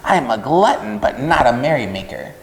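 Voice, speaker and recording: A man's voice: mid-range voice, big pitch swings, fairly quick, a little hiss or hum. A man voicing a crafty, gluttonous storybook rat with a sour, disdainful manner. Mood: sour, sly. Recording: echoey room with long reverb.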